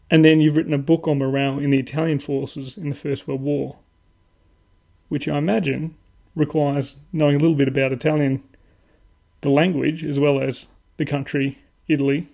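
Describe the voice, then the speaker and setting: A man hosting a podcast, asking questions in a halting way with lots of fillers and dry asides. His voice is gravelly and dark, with a husky grain. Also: fairly high pitch. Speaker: podcast host. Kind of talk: interviewing.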